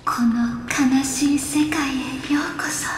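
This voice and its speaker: A woman voicing a gentle, tender kinswoman from a memory in a game, soft and soothing. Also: high-pitched, steady tone, takes it slowly, faint music behind. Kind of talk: Japanese game voice acting. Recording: heavily echoing memory-like reverb.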